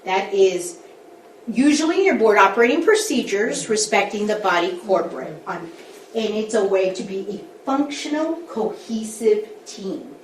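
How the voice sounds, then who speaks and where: A woman's voice: medium pitch, lively intonation, moderate pace. Woman, board member, candid coaching tone, echoey room, distant mic, faint background noise. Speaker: school board member leading a training. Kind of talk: workshop discussion.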